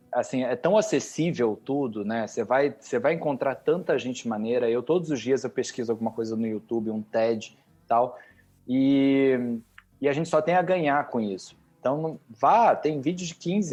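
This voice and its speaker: Brazilian guy on a podcast, earnest, mid-pitched, faint music under. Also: darkish tone. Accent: Brazilian Portuguese. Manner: earnest, recommends content eagerly. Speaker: podcast guest. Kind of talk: casual podcast chat.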